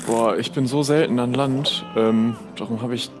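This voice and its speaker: A man's voice: medium pitch, steady tone, normal speed, music in the background. A man improvising casual, tentative dialogue as a player in an audio role-play, often asking questions.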